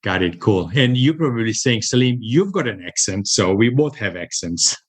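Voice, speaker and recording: An American man with a somewhat low voice hosts a podcast interview. He is upbeat and restarts often as he works out his questions. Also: big pitch swings, breathy edge. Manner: upbeat, halting, many restarts. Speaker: podcast host.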